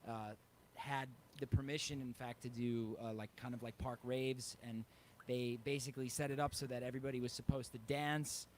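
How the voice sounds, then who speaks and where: A man's voice: mid-range voice, fairly even intonation, normal speed, few pauses. A man with a mid-range voice speaks casually as an interviewed musician. There is faint ambient noise behind him. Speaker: touring musician being interviewed. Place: live online interview.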